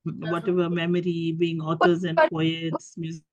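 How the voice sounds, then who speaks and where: A woman's voice: on the low side, completely flat delivery, darkish tone, no room sound. A middle-aged Indian woman with a cold, giving a talk over a video call, stopping and restarting often with fillers. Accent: Indian English. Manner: halting, many fillers and restarts. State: has a cold and cough. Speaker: guest speaker. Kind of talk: talk to an online group. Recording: dull lo-fi call audio.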